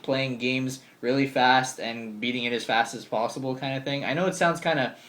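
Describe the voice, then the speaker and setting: A man's voice: mid-range voice, steady tone, normal speed, a bit bright. A man of twenty answering viewer questions in a casual vlog, chatty and upbeat, full of ums and likes. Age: 20s. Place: bedroom with game shelves.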